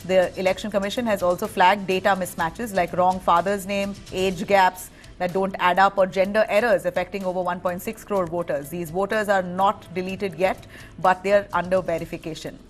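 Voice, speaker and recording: A woman with an Indian accent reading a live news bulletin as anchor, in a clear, firm delivery. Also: on the low side, steady tone, moderate pace, faint music behind.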